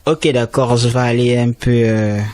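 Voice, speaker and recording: A man's voice: level tone, normal speed, darkish tone, dry recording. A man, a student trainee explaining conservation as a radio interview guest, speaking emphatically. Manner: explanatory, emphatic with rising pitch.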